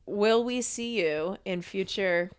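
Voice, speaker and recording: A woman co-hosting a podcast, steering the chat with measured questions. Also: mid-range voice, clean tone. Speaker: podcast co-host. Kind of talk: interview questions.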